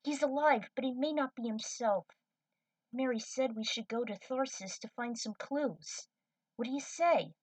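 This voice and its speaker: Woman acting earnest, excitable young female cadet. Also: a little high, a little slow, no echo, far from the mic, pitched above the performer's own voice, poor audio. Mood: earnest, urgent. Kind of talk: fan fiction character reading.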